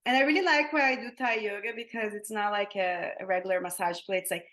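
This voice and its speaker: A woman guest chatting about her massage work, voice at a middle pitch. She talks loosely, circling back with fillers and restarts as she searches for words. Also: fairly even intonation, quick pace, a bit bright. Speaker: yoga teacher and Thai massage therapist, guest. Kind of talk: casual interview conversation.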